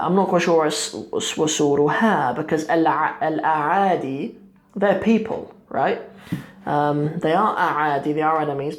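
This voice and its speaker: Young man explaining poetry, halting. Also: fairly high pitch. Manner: thinking aloud, many ums and restarts. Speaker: Arabic teacher. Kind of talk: explaining a poem line by line. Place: small room indoors, seated at a desk.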